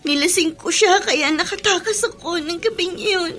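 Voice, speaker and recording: A woman's voice: extremely high pitch, normal speed, fairly bright tone, faint music behind. A woman playing a distraught, plaintive teenage trafficking victim in a Tagalog radio drama. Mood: shaken, recounting.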